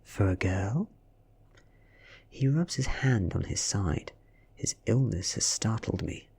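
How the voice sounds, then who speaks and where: A man with a British accent softly narrating a story in ASMR style, breathy and often near a whisper. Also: lowish voice, animated, a little slow. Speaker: audiobook reader.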